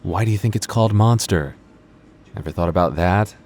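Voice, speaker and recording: A man's voice: sits low, brisk, darkish tone, natural voice. A man voicing a young male vampire store coworker in an ASMR roleplay, flustered and teasing, with hesitant, sardonic banter. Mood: defensive, earnest. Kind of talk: ASMR roleplay audio drama.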